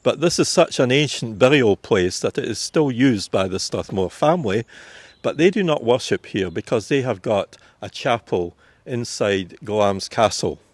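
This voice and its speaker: A Scottish man working as a tour guide, giving an informative, unhurried commentary with frequent fillers while walking outdoors through a village churchyard. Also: medium pitch, expressive, no echo. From Scotland.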